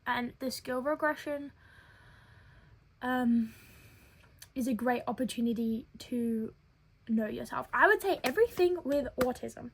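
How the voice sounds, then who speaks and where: Breathy, childlike young woman vlogger. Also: fairly high pitch, rough recording. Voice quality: childlike head voice. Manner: earnest, thoughtful, with frequent filler pauses. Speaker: web series creator. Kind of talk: personal storytelling vlog.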